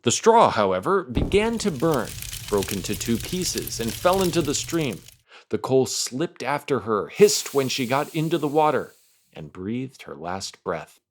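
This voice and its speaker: A man narrates a children's tale in an expressive read-aloud style. His mid-pitched voice moves animatedly, and his phrasing is careful and theatrical. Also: measured pace, slight background noise. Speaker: storyteller.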